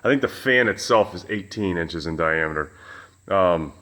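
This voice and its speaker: A man with a loud voice, casually reviewing a fan in his home gym, rambling with lots of fillers and restarts. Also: low voice, animated, normal speed. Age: adult with children. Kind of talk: casual product review vlog.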